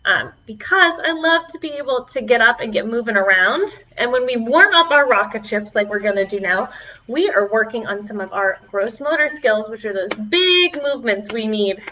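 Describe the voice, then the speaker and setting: A woman children's librarian leading a preschool storytime online, encouraging and animated as she talks to young children. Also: on the high side, moderate pace, distant mic. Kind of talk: preschool singalong and chat to children. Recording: dull, lo-fi online video audio.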